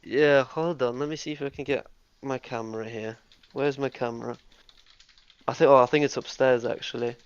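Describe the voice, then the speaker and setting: A man's voice: mid-range voice, steady tone, moderate pace, clear, resonant tone, no echo. Eager man rambling in dry lo-fi chat. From Russia. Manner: eager, talks in bursts with many restarts and fillers. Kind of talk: casual group voice chat.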